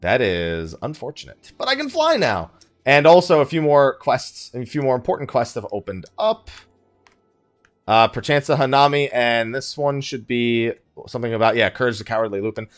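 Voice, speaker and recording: A man gives casual commentary while playing a video game, partly talking to himself. His voice sits at a middle pitch and moves up and down in an animated way, with faint music behind it. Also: moderate pace. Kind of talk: casual let's play commentary.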